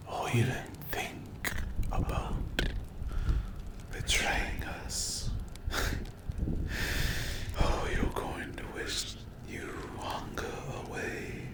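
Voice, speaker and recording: A man voicing a menacing, sadistic demon captor in a whispered ASMR dark roleplay, breathy and gravelly, taunting with possessive, mock-tender threats. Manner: slow, drawn-out, taunting, threatening.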